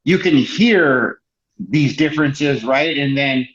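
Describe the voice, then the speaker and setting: Man, halting and full of fillers. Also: mid-range voice, lively intonation, fairly bright tone. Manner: halting, restarts a lot, many "you know" fillers. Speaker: podcast guest. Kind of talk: casual conversation. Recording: dull lo-fi sound.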